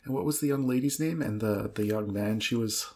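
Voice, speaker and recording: A woman's voice: extremely low pitch, fairly quick, darkish tone. A woman co-hosting a podcast, reacting casually in an incredulous, joking way. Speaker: podcast co-host and listener.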